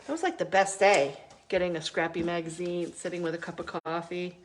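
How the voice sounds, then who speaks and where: A woman's voice: lowish voice, steady tone, a little fast, slight background noise. A middle-aged woman hosting a live crafting video, chatting casually with many ums while she works, still stuffy from a cold.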